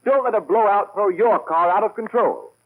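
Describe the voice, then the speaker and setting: A man's voice: high voice, moderate pace. A male announcer delivering scripted copy in a formal, declamatory 1930s radio style. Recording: thin, tinny 1930s radio recording.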